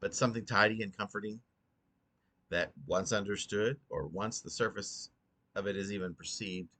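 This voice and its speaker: A man reading his own poem aloud in an earnest, rhetorical, dramatic recitation with a halting delivery. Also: fairly low pitch, pushed and bright.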